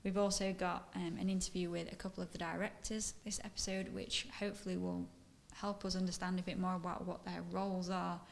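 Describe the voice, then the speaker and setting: A woman hosts a casual podcast in a chatty, upbeat way. Her voice sits lowish and stays flat, with a gravelly fry, and faint noise runs under the recording. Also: a little fast, hardly any pauses. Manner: chatty, upbeat introducing segments. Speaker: podcast host.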